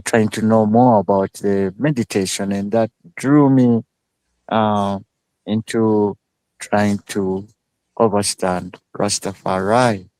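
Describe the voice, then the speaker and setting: A man from Uganda speaking as a guest on a live show. He talks slowly, with many pauses and fillers. His voice is smooth and rather low, and the sound is dry and close. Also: darkish tone, no room sound, poor audio. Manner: slow, halting speech with many fillers. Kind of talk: casual live interview.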